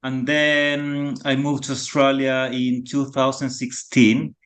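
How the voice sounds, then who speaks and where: Mid-pitched Chilean man in his thirties, Spanish accent, soft and halting guest. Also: completely flat delivery. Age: 30s. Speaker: podcast guest. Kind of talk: casual reflective conversation.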